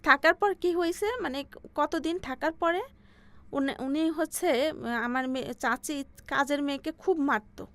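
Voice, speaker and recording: A Bangladeshi woman in her forties with a highish voice shares her personal story as a guest. Her telling is halting, and she often circles back to repeat a phrase before moving on. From Bangladesh, Faridpur region. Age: 40s.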